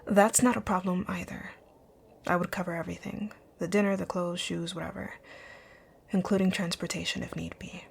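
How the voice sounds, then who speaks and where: A woman playing a rich, dominant company owner in an ASMR roleplay, speaking in a composed, commanding, breathy near-whisper. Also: fairly low pitch, steady tone, normal speed. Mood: businesslike, commanding. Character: rich, bossy, dominant female company owner, curt yet flirtatious. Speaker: audio roleplay voice actor. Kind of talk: ASMR roleplay, scripted one-sided dialogue.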